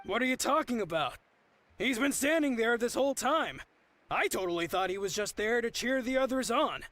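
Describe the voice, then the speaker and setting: A woman's voice: fairly high pitch, animated, moderate pace, fairly bright tone, dry recording. A woman voicing an earnest, bewildered young girl in a video game, incredulous and hesitant. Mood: puzzled.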